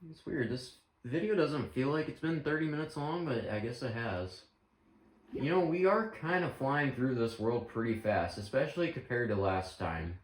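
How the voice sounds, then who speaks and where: A man's voice: mid-range voice, animated, normal speed. A man giving casual, rambling game commentary while losing his voice, often correcting himself mid-sentence. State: losing his voice, clearing throat. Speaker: gaming channel host. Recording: echoey room with game music. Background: game music.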